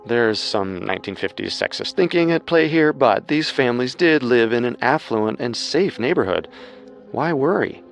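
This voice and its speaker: A man's voice: mid-range voice, expressive, normal speed, vocal fry. A man co-hosting a scripted true-crime show as narrator, with music underneath. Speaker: co-host and narrator.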